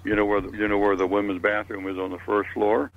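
A man's voice: lowish voice, completely flat delivery, fast, narrow, tinny audio. An elderly man in his eighties reminiscing casually in a rambling way, often repeating his words. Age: 80s. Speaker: panelist. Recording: faint background noise.

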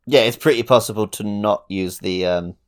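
A man co-hosting a gaming podcast in relaxed, informal conversation about a video game. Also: on the low side, normal speed, smooth.

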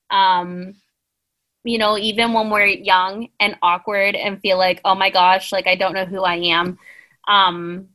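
Woman in her twenties, bright voice, earnest, rambling, lots of ums, podcast co-host. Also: medium pitch. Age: 20s. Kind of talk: casual advice chat.

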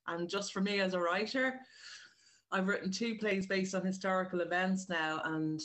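An Irish woman speaks as an interview guest in a medium-pitched voice. She is informal and conversational, often rewording her thoughts midway as she explains things. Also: steady tone, moderate pace, a bit bright. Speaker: writer and director, interview guest. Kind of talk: casual interview chat.

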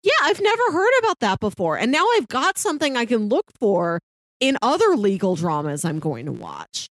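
A woman's voice: a little high, huge pitch swings, normal speed, no room sound. A woman co-hosting a podcast, casually discussing a TV series in conversation. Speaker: podcast co-host.

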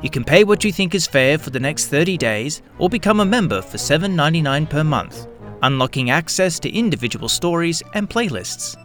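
A man's voice: on the high side, a bit bright. A man reading scripted narration as the narrator of an audio production. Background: background music.

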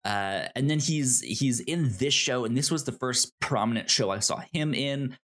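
A man co-hosting a podcast, chatting casually about television in a halting way, often repeating words and stammering over them. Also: medium pitch, fairly bright tone. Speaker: podcast co-host. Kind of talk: casual conversational TV show discussion.

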